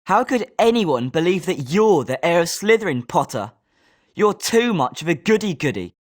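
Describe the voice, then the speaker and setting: A man's voice: on the high side, sing-song, normal speed. A man playing a British schoolboy wizard in a video game, speaking scripted lines expressively. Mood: sneering. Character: schoolboy wizard student, polite but sometimes sneering.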